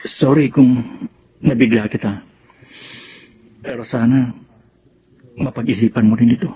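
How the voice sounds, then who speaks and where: A man's voice: mid-range voice, steady tone, measured pace, mellow, lightly acted. Casting: man to act sincere young Filipino leading man, earnest radio-drama delivery, mid-range voice, slightly muffled recording. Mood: tender, nervous. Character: sincere, devoted young male suitor.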